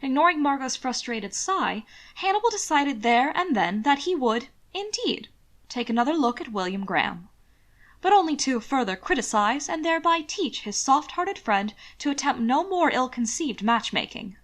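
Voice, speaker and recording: A woman's voice: sits high, very animated, normal speed, fairly bright tone. A woman reading scripted fiction as an audiobook narrator.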